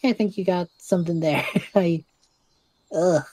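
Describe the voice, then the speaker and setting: A woman voicing a young male magic student in an audio drama, earnest, awkward and self-deprecating, speaking haltingly. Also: on the low side, mellow, lightly acted. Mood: earnest, reflective. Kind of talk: audio drama dialogue, naturalistic.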